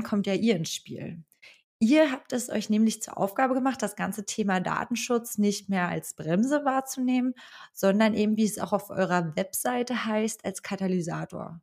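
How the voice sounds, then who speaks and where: A woman's voice: mid-range voice, darkish tone. Woman hosting a podcast. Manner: carefully read intro with occasional fillers. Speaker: podcast host. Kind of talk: scripted podcast introduction and questions.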